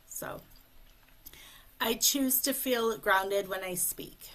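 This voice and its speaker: A woman speech coach leading a guided tapping session, speaking slowly and calmly in short phrases for a client to repeat, over a video call. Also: mid-range voice, poor audio. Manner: slow, calm, leads phrases for repetition.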